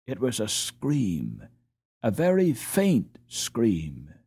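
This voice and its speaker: A man reading a children's storybook aloud as an expressive audiobook narrator. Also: fairly low pitch, drawn-out words, vocal fry, breathy edge.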